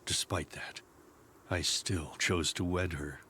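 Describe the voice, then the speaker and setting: A man's voice: sits low, fairly even intonation, slow pace, pushed and bright, slight background noise, exaggerated voice. A grim, determined demon evoker father. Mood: grieving. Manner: grave and determined. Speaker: game character. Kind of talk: game cutscene voice acting. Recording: faint music behind.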